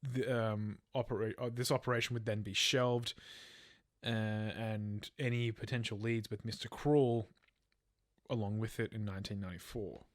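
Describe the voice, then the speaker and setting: A man's voice: fairly low pitch, fairly even intonation, moderate pace, no echo, clean studio sound. A man co-hosting a true crime podcast, telling a case from notes in casual language, stumbling and correcting himself. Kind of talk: semi-scripted case narration with casual chat.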